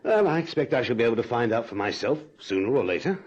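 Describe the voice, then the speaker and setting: Brash, flirtatious bachelor, wildly lively intonation. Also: male voice, medium pitch, fast. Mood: flirtatious, breezy. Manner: direct, talkative, teasing. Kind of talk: radio drama acting. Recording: dull lo-fi old radio recording.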